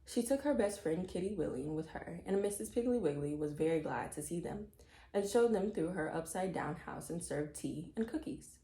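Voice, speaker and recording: A woman narrates a children's story with expressive, scripted delivery. Her voice is slightly low and very mellow. The recording sounds roomy and echoey. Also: normal speed.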